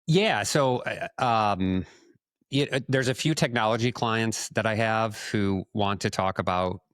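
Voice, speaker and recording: A man's voice: fairly low pitch, measured pace, a bit bright, dry recording, studio quality. A man speaking casually in conversation as the co-host of a podcast. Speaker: podcast co-host, marketing consultant.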